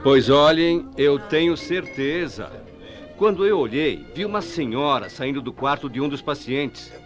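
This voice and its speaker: An earnest, puzzled night-shift hospital worker in a Brazilian radio drama. His voice is fairly high and swings with animated intonation, with music behind it. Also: measured pace. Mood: insistent. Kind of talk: 1990s Brazilian radio mystery drama acting.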